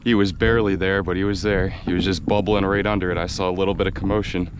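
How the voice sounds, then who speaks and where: An American man with a slightly raspy, worn voice, chatting excitedly while fishing from a kayak outdoors, and laughing often. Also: low voice, fast, noisy background. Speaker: fishing video host. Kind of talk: casual on-the-water vlog narration. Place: outdoors on a kayak in a windy swamp.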